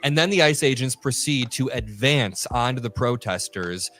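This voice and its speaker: Male commentator, mid-pitched, emphatic and indignant, narrating footage for viewers. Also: lively intonation, normal speed, fairly bright tone. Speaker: political commentator. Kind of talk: online news commentary.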